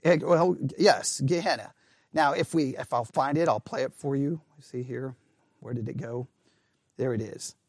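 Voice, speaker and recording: A man's voice: medium pitch, a little slow, no room sound, studio quality. A man teaching a conversational Bible study lesson, emphatic, asking his listeners questions and often restarting his sentences. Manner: emphatic, asks the class questions, frequent restarts.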